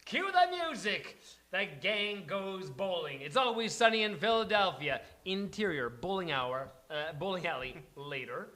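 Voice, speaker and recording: A man's voice: high-pitched, expressive, measured pace, sharp tone. A man hosting a live table read and narrating the stage directions. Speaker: host and stage-direction reader. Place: live venue before a studio audience. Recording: faint background noise, live event.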